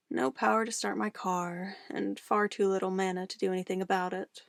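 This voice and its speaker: Casting: female actor as yandere witch, slow and deliberate, coaxing yet commanding, obsessive lover, intimate dry sound for ASMR roleplay. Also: mid-range voice, creaky, dry recording. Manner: coaxing endearments turning to firm commands. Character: obsessive, controlling young witch, sweetly menacing yandere lover.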